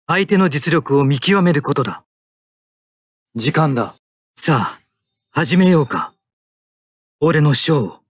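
A man's voice: a little high, breathy edge, dry recording, clean studio sound, full character voice. An actor playing a stoic young male mecha pilot in an anime combat game, speaking in terse, tactical military phrases.

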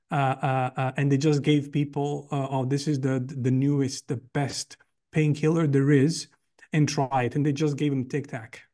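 A middle-aged man, speaking as an interview guest over a video call from home, earnest and enthusiastic with frequent "uh" pauses. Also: medium pitch, darkish tone. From Croatia. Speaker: guest, company CEO. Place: home room indoors.

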